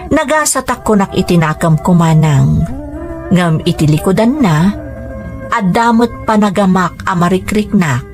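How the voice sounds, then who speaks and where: A woman's voice: lowish voice, lively intonation, unhurried, a little mellow, soft background music. A woman with an Ilocano accent reading a scripted first-person drama narration. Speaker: storyteller.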